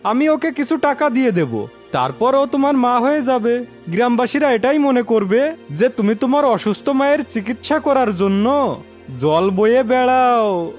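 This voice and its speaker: Cartoon villain man acting, bossy and menacing, high-pitched, drawn-out commands over clear background music. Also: lively intonation. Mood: sly, commanding. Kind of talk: cartoon fairy-tale voice acting.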